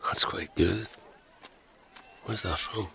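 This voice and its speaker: A man playing a brooding, reluctant fantasy hero burdened with dark powers, hesitant, guarded and blunt in an audio drama. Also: deep voice, slow pace, vocal fry, breathy edge, heavily acted. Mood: shy, warming.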